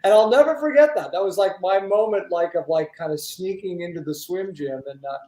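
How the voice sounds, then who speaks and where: A man from the United States hosting a casual interview, telling stories in an animated, rambling way. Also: high voice, a little fast. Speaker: talk show host. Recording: roomy echo.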